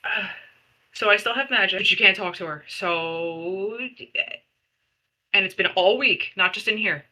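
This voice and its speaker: A woman playing an exasperated, anxious female spellcaster in a tabletop game, blunt, sweary and halting. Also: mid-range voice, sharp tone. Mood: anxious, rattled.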